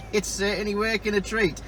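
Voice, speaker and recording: A man's voice: high voice, flat delivery, measured pace. A man running a CB radio net, chatting casually and reading out call signs over the radio. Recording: very noisy CB radio with clicks.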